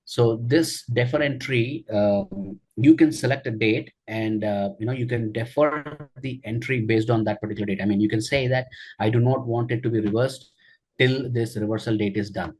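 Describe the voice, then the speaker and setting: A man teaching a software tutorial during a screen share, explaining each step with frequent fillers and check-ins. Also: medium pitch, normal speed, slightly rough. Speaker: software trainer.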